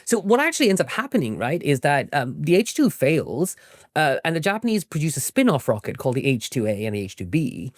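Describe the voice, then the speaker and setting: Animated man explaining in an interview. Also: fairly high pitch, brisk, no echo, clean studio sound. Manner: frequently tags statements with "right?". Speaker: interview guest, academic author and historian.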